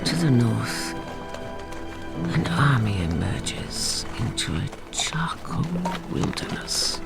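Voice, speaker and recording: A woman narrating a radio drama in a measured, hushed, fragmented storytelling style. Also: rumbling low, big pitch swings, vocal fry, full character voice. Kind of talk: dramatic scripted present-tense narration. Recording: music and birdsong under the voice.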